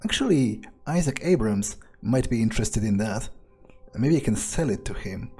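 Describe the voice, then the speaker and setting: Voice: medium pitch, animated, normal speed, a little mellow, slightly rough, soft background music. A person thinking aloud in character as a scheming, amoral vampire in a game playthrough, hesitant and casually profane. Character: scheming, amoral vampire musing on own plans. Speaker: player narrating a roleplay game playthrough in character.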